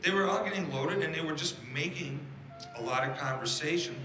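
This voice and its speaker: A man's voice: on the high side, fairly quick, background noise. A man giving casual commentary as an interviewee, in a roomy recording with music behind.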